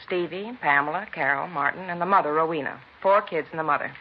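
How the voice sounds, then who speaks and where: An actress playing a nosy, pushy middle-aged neighbor in a 1950s radio crime drama, talking in a brassy busybody way. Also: female voice, sits low, fast, lightly acted. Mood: insistent. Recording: lo-fi vintage radio broadcast with faint noise.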